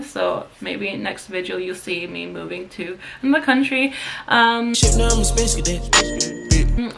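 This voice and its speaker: A woman's voice: mid-range voice, bright, forceful tone. A young woman from Sweden who vlogs, chatting to her camera in a casual, rambling way, with plenty of fillers and restarts. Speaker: vlogger.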